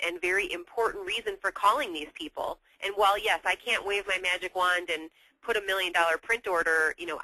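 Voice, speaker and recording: A woman's voice: lowish voice, pushed and bright, no room sound, low-quality recording. An American woman co-presenting sales advice conversationally, in a wordy way, often circling back to rephrase.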